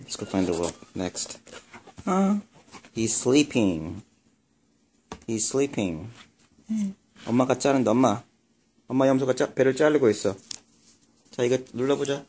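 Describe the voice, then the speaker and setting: A woman's voice: extremely low pitch, animated, rapid-fire, long pauses, dark tone, a little hiss or hum. A native Korean woman with a Korean accent in a casual read-along for a small child, encouraging and explaining simply with repetition. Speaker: mother reading along with her child.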